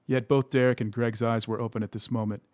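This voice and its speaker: A man narrating a scripted supernatural horror tale in a measured, literary way. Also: mid-range voice, level tone, a little mellow, no echo, studio quality, natural voice. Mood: ominous. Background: music.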